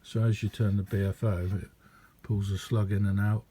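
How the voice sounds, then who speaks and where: Man, very mellow dark tone, husky, lowish voice, hesitant, casual rambling demo. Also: level tone. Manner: hesitant, unhurried, chatty. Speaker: radio hobbyist. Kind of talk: casual hands-on demonstration, rambling.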